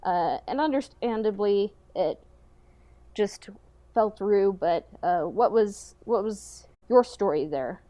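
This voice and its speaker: Soft-toned woman hosting a podcast. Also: medium pitch, lively intonation, slow pace, no echo. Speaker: podcast host. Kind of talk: casual interview chat.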